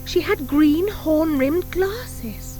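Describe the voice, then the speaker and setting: Woman playing a high, anxious schoolgirl witch. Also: very slow, faint music behind, exaggerated voice, rough recording. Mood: earnest insisting. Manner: earnest, desperate, excitable. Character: eager, anxious young schoolgirl witch, pleading.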